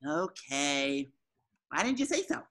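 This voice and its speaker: A woman voicing an excitable, naive young male cartoon sea sponge in an eager, theatrical way at a table read. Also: low-pitched, slow pace, heavily acted. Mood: playful.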